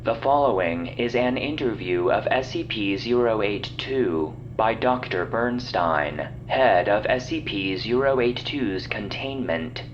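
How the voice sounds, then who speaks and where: Man as deep, slow archive announcer. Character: impersonal archive announcer reading log headers. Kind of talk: scripted audio log announcement. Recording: muffled, humming, noisy log playback.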